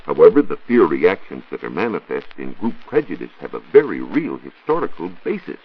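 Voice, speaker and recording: A man's voice: deep voice, sing-song, brisk, slightly rough. An American man working as a science reporter, explaining in a formal, didactic way in a scripted 1940s educational radio talk. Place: radio studio. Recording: muffled 1940s radio recording.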